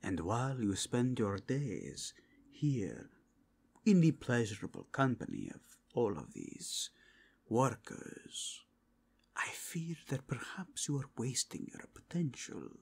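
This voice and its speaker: A slow, husky inquisitor played by a man for a fantasy ASMR roleplay. He is a suave churchman, formal and insinuating, with whispery breath and pitch that swoops widely as he probes. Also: wildly swooping pitch, a little mellow, full character voice. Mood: persuasive, hushed. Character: suave, probing male cardinal of a fantasy Inquisition.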